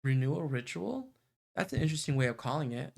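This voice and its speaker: A man's voice: mid-range voice, lively intonation, fast talker, vocal fry, darkish tone. A man streaming a visual novel, reading its narration aloud and adding casual asides.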